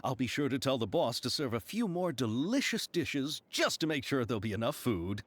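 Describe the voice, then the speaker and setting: An actor playing an eager, easily flustered, deferential film producer in a video game cutscene. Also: male voice, mid-range voice, sing-song, normal speed, slight background noise, natural voice. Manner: effusive, deferential, hesitant when flustered.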